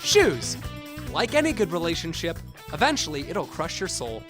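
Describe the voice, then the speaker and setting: A man delivers a mock-earnest sponsor announcement over clear guitar music. His pitch is high and swings dramatically. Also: huge pitch swings, moderate pace. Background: plucked guitar music.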